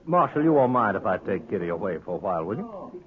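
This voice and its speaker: An actor playing a pushy, smooth-talking saloon gambler, insistent and needling, in a 1950s radio western. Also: male voice, on the low side, sing-song, fast talker, narrow, tinny audio. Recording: thin vintage radio recording, saloon crowd behind.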